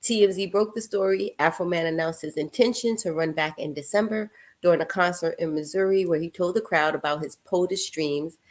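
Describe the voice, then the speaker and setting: A woman's voice: on the low side, brisk. A woman from New York with a New York accent, co-hosting a morning show, joking around and reading news items aloud. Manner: laughing, playful, reads news items aloud. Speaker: co-host.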